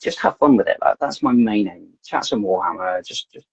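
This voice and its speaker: A man with a low, gravelly, husky voice talks loosely on a podcast, circling back and repeating himself as he thinks. The recording sounds dull and lo-fi, with a dry close sound. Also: expressive, brisk, dry recording. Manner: rambling, repeats words as he talks. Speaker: podcast guest. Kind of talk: casual podcast chat.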